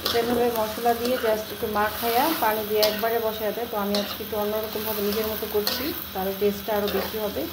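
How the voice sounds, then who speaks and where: A woman's voice: medium pitch, flat delivery, fast, few pauses, roomy sound. A woman with a Bangladeshi accent narrating a casual home cooking vlog, explaining each step in a chatty, colloquial way. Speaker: home cooking vlogger and mother. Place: home kitchen while cooking. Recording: clear background noise of sizzling and stirring.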